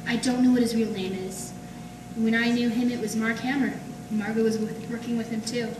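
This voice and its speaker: A woman's voice: on the high side, level tone, moderate pace, close to an everyday voice. A woman playing a secretive, pleading femme fatale in an earnest, dramatic 1940s radio melodrama style. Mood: confiding. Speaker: amateur stage radio-drama actress. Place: live performance in an art gallery. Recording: distant mic in echoey noisy room.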